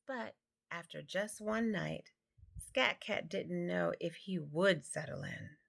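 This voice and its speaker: A woman's voice: lowish voice, takes it slowly, vocal fry. A woman reading a children's picture book aloud, stumbling now and then over words. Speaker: amateur storybook reader.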